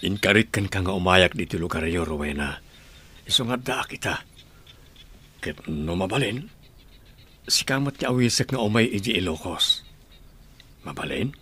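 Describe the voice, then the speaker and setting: A man's voice: sits low, lively intonation, moderate pace, long pauses, pushed and bright, husky, exaggerated voice. A man acting in an Ilocano radio drama, playing a friendly middle-aged father who hopes to win acceptance for his new love. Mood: tender, reassuring.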